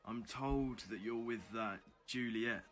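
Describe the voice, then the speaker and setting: A man's voice: medium pitch, fairly even intonation, slow, a bit bright, soft background music. A British man playing a wary, brusque underground club MC and hardened rebel in an audio drama. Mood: brusque, testing.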